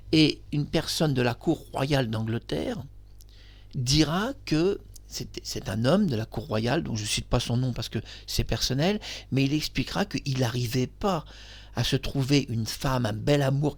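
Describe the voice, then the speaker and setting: A man's voice: medium pitch, creaky. A man delivering a spoken opinion monologue, ranting and emphatic, repeating himself and speaking straight to a celebrity. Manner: repetitive, emphatic. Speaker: video commentator.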